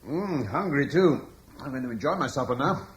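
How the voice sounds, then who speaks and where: An actor playing a humble, devoted soldier husband in a radio drama, plain-spoken and questioning in an understated way. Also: male voice, medium pitch, expressive, normal speed, a little hiss or hum, lightly acted. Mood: calm, lighter.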